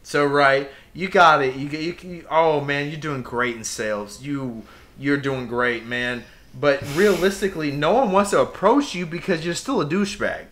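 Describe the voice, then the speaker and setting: A young man co-hosts a laid-back podcast and talks in a bright, cutting tone. He gets worked up as he goes, rambling through his point and then punching it home with sudden emphatic bursts. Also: fairly high pitch, moderate pace. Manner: impassioned ranting with emphatic outbursts. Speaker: podcast co-host. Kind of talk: casual podcast rant.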